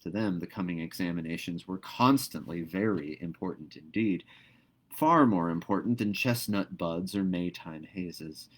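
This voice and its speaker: Low-voiced man reading a novel aloud. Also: normal speed. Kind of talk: expressive storybook narration.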